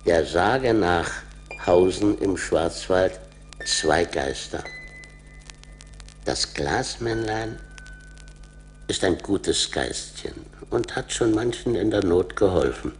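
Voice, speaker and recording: An actor playing a solemn teller of forest legends in a 1980s radio play, deliberate and mysterious. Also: male voice, low voice, lively intonation, slight background noise.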